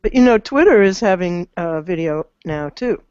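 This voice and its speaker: A woman's voice: lowish voice, animated, moderate pace, darkish tone, smooth, no room sound. Blunt older woman, dry close sound. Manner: blunt, opinionated. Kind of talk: casual panel discussion. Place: indoor room, video call. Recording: dull lo-fi video call audio.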